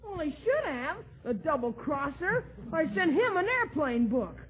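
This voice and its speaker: A man's voice: extremely high pitch, very animated, moderate pace. A male performer playing a cheeky, grumbling young American son in 1940s radio comedy style. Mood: sulky. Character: cheeky, grudge-holding young son of the family. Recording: tinny 1940s radio broadcast.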